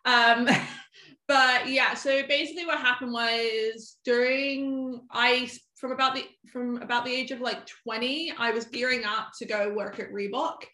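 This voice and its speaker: A woman's voice: on the high side, steady tone, unhurried, sharp tone. A woman in her twenties, a photographer, chatting casually and rambling with lots of "like" on a podcast. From half American, half English. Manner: chatty, rambling, heavy use of "like". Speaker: guest photographer. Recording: echoey room.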